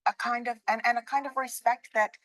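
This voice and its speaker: A woman speaking earnestly and measured in a played video clip. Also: on the high side, steady tone, a bit bright, dry recording. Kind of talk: formal spoken reflection.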